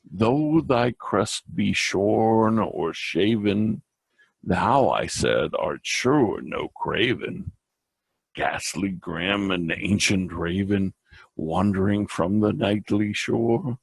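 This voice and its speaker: A man giving a dramatic recitation of a poem, theatrical and halting, with occasional stumbles. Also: on the low side, expressive, vocal fry, breathy edge, dry recording. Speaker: reader.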